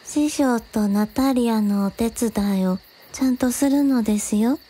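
A woman's voice: fairly high pitch, unhurried, warm tone, breathy edge. A woman playing a sleepy, childlike yet bossy young woman who gives quaint orders in a radio drama. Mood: drowsy.

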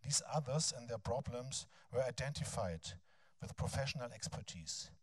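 A man in his fifties with a German accent gives a lecture in a large bright hall on a handheld mic, hesitating often with ums and restarts. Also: medium pitch, lively intonation, husky. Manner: halting, frequent ums and restarts. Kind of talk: academic lecture, then reading a text aloud.

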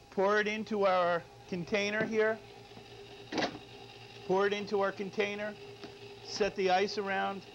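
A middle-aged male chef giving an unscripted cooking demonstration, often checking in with short tag questions. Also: high voice. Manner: frequent tag questions "all right?". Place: studio kitchen. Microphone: lapel mic. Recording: dull lo-fi audio, background noise.

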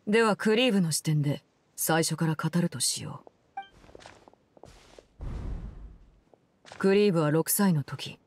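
An actress playing a cold, composed game villainess, telling a story in a measured, solemn and restrained way. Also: female voice, sits low, a little mellow. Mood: grave, composed. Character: cold, composed woman, Fatui Harbinger who runs an orphanage. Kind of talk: scripted game story narration.

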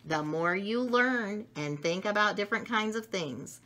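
Middle-aged female storyteller for kids' educational picture book, bright tone, highly varied intonation, emphatic delivery. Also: expressive, a little slow. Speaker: storyteller reading picture books. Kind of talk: read-aloud of a children's educational book. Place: home dining room, indoors.

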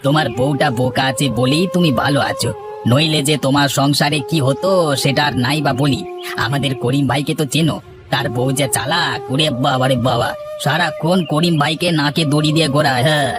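A man plays a frank village buddy in a cartoon, nosy and teasing. He talks in a slightly high voice, prodding and exclaiming. Music is clearly heard underneath. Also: fairly even intonation, fairly quick, close to an everyday voice. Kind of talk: cartoon character acting.

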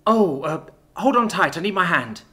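A woman voicing a protective older sister forcing calm under dread in an audiobook, reassuring yet urgent. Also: on the low side, normal speed. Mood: flustered. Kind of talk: audiobook character dialogue.

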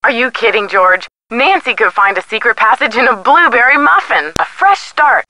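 A perky, loyal young woman from a video game. Her very high voice is bright and lively, swinging expressively through supportive chatter. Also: moderate pace, dry recording, poor audio. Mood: encouraging, teasing. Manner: animated, supportive. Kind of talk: video game voice acting, phone dialogue.

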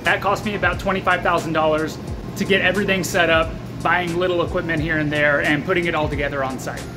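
A man's voice: a little high, fairly even intonation, fast. An American man in his thirties talking candidly to camera, thinking aloud in an explanatory vlog. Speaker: small brewery owner and vlogger. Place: small taproom bar with metal walls, indoors. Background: clear background music.

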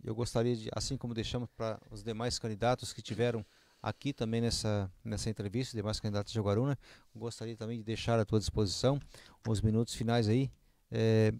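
A middle-aged man, hosting an interview in a halting way on a handheld studio mic. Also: lowish voice, little pitch movement, vocal fry, darkish tone, no room sound. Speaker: interviewer, host. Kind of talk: local political interview. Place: small indoor studio. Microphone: handheld microphone.

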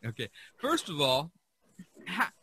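A man's voice: a little high, slow, husky. A man hosting a casual podcast discussion, telling stories with enthusiasm. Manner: enthusiastic, animated storytelling. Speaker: podcast host. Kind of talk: casual art history discussion.

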